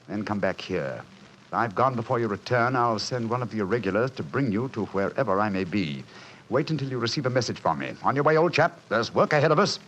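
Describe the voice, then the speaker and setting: A quick, low-voiced English gentleman detective. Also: lively intonation. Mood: brisk, commanding. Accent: British. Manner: clipped, commanding, wry. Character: brisk, self-assured, dryly witty English gentleman detective. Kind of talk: 1940s radio drama acting. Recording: muffled, hissy old radio broadcast.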